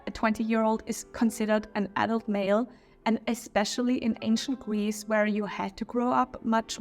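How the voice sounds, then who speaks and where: A woman's voice: medium pitch, gravelly. German-accented woman reviewing history, chatty. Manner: chatty, opinionated, with small slips and restarts. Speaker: history channel host. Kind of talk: casual video review.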